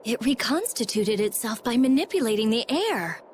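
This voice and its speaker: A woman voicing a brash, prickly teenage girl genius in a video game, brusque and excitable. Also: on the high side, normal speed, a little hiss or hum. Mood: amazed. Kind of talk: English video game voice acting.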